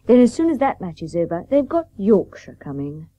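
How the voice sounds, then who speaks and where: A woman's voice: medium pitch, big pitch swings, a little fast, mellow, slight background noise, lightly acted. An actress playing a genteel, chatty lady hostess in a radio drama. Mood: sociable. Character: genteel, chatty mother-to-be's sisterly confidante and hostess. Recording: dull old radio broadcast.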